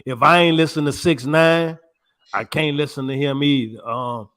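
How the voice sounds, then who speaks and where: A man's voice: fairly high pitch, fairly even intonation, moderate pace, a bit bright. A man co-hosting a podcast, chatting casually in a laid-back, slangy way. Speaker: podcast co-host. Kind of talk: casual chat.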